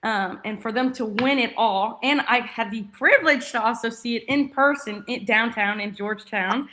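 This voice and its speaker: A woman's voice: a little high, normal speed, sharp tone. A young American woman from Texas chatting as an interview guest, enthusiastic and effusive. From Texas, United States. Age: young adult. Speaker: interview guest, former college soccer player. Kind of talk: casual interview chat.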